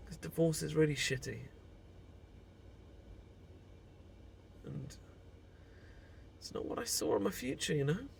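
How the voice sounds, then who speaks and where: A British man playing an emotional husband leaving an abusive marriage, confiding haltingly in a close friend in an intimate, naturalistic audio roleplay. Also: mid-range voice, creaky, slight background noise. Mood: wistful, sad. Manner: halting, confessional, sighing, informal and sweary.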